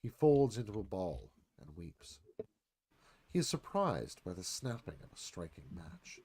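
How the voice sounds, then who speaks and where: Man narrating with lively pitch swings. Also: a little slow, a little hiss or hum. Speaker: narrator reading stage directions. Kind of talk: scripted screenplay narration.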